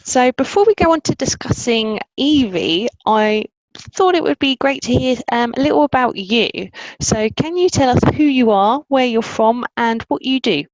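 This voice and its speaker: A woman's voice: fairly high pitch, lively intonation, normal speed, dry recording. A woman hosting a book interview, on a dull, lo-fi recording. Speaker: interview host. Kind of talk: friendly structured book interview. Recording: dull, dry, lo-fi sound.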